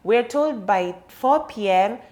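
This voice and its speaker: A woman's voice: fairly high pitch, expressive, slow, a little mellow. Thoughtful woman hosting a solo podcast. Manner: thoughtful, explanatory, conversational. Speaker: podcast host. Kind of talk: solo reflective podcast monologue.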